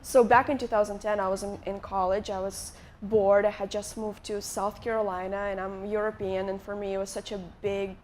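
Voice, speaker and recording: A woman's voice: mid-range voice, little pitch movement, fairly quick, hardly any pauses, darkish tone, slight background noise. Chatty young woman from Moldova, live onstage. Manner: chatty, many likes and restarts. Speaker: guest YouTuber. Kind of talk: casual onstage interview. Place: conference stage before a live audience.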